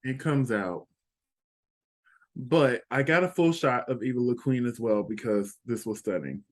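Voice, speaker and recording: A sassy, playful man with a Texan accent, co-hosting a casual review chat. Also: mid-range voice. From Texas. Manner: sassy, playful, drawn-out emphasis. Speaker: co-host.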